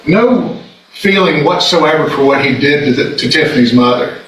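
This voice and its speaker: A man's voice: on the high side, normal speed. A man arguing as a prosecutor in court, speaking in an emphatic, condemning manner. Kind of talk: courtroom closing argument. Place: indoor courtroom. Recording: echoey courtroom, distant mic, background noise.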